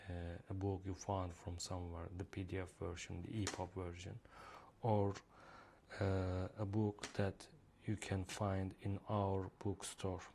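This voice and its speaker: A man's voice: low voice, completely flat delivery, a little slow, vocal fry, a little mellow, a little hiss or hum. A man with a Turkish accent, a native Turkish speaker, walking viewers through a reading app in a screen-recorded demo, speaking hesitantly with many fillers. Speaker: app creator demonstrating his reading app.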